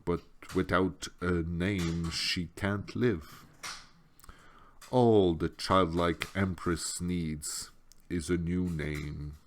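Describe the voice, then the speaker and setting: Deep-voiced man reading a storybook, unhurried. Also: fairly even intonation, slight background noise. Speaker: audiobook reader. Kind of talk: storybook narration.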